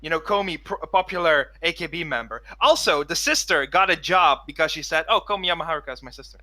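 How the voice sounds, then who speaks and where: A man chats casually as a podcast co-host in a very bright, tense voice pitched a bit high. He tells joking anecdotes and starts and restarts his phrases haltingly. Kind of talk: casual group chat.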